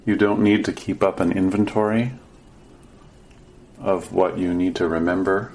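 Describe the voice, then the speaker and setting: A man leading a guided meditation as a teacher, giving gentle, sparse instructions with long pauses. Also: low-pitched, level tone, vocal fry, a little hiss or hum.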